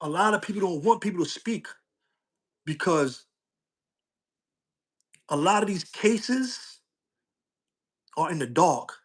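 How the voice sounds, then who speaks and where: Middle-aged male streamer with a slightly higher voice, loose street slang, and a repetitive, testifying delivery. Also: expressive, slow, long pauses, breathy edge. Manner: street slang, loops back with "you know what I'm saying". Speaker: social media streamer. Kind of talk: casual livestream talk.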